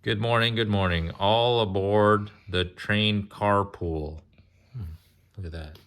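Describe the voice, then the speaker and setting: A man reading a children's picture book aloud in a casual way, pausing to chat, ask questions and explain. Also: lowish voice, steady tone, a bit bright. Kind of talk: casual read-aloud with chatty asides.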